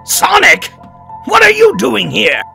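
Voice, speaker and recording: A man playing a surly, blustering mad doctor villain in an audio drama, frightened but defiant, with a gravelly, theatrical voice. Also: very high voice, wildly swooping pitch, slow pace, pushed and bright, soft background music, heavily acted. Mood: shocked. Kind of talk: audio drama character acting.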